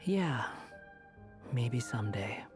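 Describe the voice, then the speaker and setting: A man's voice: medium pitch, expressive, slow pace, music in the background. A man voicing an aloof, wry young loner with a dry, offhand delivery in an anime-style game. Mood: guarded.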